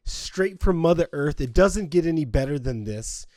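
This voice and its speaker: A man co-hosting a podcast in casual, rambling, jokey chat, his voice slightly hoarse from a cold. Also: fairly high pitch, lively intonation, moderate pace, a little mellow, no echo, clean studio sound. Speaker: podcast co-host.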